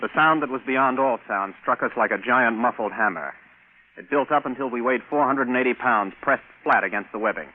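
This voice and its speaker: A man's voice: medium pitch, a little fast, close to an everyday voice. A man playing an eager, easily flustered young rocket pilot captain in a 1950s radio drama, wry and hesitant. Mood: wry narrating. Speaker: narrator and lead actor. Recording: noisy, tinny vintage radio broadcast.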